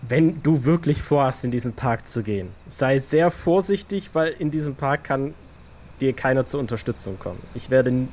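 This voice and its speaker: A man's voice: medium pitch, animated, moderate pace, darkish tone, lightly acted. Man playing a wary vampire, faint background noise. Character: wary male vampire, cautious and distrustful. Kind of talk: improvised tabletop role-play audio drama.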